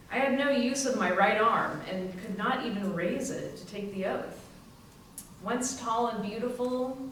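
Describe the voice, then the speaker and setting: A woman's voice: medium pitch, natural voice. A woman playing a crippled housewife in her twenties, recounting her ordeal in a readers theater monologue. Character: crippled twenty-six-year-old housewife giving testimony. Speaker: community theatre actor. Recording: very echoey room, distant mic, faint noise.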